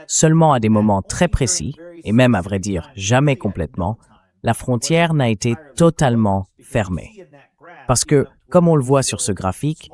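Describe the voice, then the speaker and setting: A man with a fairly low voice delivers a translated interview voice-over. He explains political analysis point by point, in a measured and orderly manner. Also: darkish tone.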